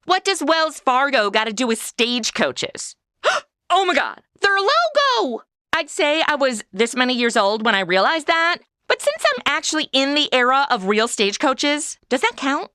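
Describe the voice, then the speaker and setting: A woman plays a snarky modern city woman who cracks wise in the Old West. Her high, bright voice leaps all over the place, close and dry. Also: moderate pace, dry recording, exaggerated voice. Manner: snarky deadpan quips. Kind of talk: comedy audio drama acting.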